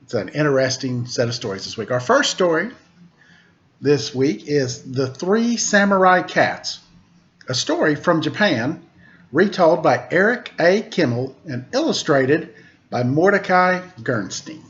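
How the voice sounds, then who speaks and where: A man's voice: on the high side, sing-song, a little slow, fairly bright tone. Man reading stories aloud, dull lo-fi sound. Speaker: storytime host reading children's stories. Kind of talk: read-aloud storytelling with casual introduction. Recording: dull lo-fi recording.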